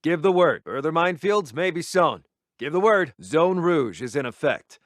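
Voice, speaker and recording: High-pitched, clipped military intelligence officer played by a man. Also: animated, moderate pace, no room sound, studio quality, natural voice. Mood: brisk, dutiful. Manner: clipped, formal military reporting. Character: brisk, dutiful military intelligence officer reporting to commander. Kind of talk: video game voice lines, battlefield radio reports.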